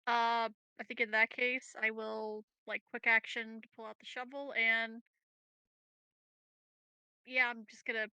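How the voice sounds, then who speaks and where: Bright, creaky-voiced woman playing tabletop. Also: on the high side, flat delivery, a little slow, long pauses, no echo, poor audio. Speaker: tabletop game player. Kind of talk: casual game chat.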